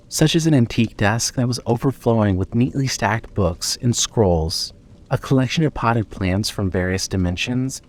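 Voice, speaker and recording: A man narrating a scripted horror audio drama in a measured, dramatic storytelling manner. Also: fairly low pitch.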